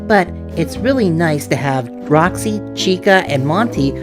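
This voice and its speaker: A wisecracking cartoon boy, as a woman plays him, with a slightly low voice and lively, bouncy intonation. He sounds confident and cheeky, with music clearly behind. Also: unhurried. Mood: casual, cheeky. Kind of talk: animated comedy skit voice acting.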